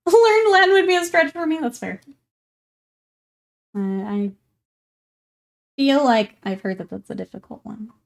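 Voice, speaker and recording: A young woman with a somewhat high voice talks easily through a live stream. She thinks aloud while painting miniatures and reads out viewer comments in a relaxed, chatty way. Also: a little slow, creaky, pauses often.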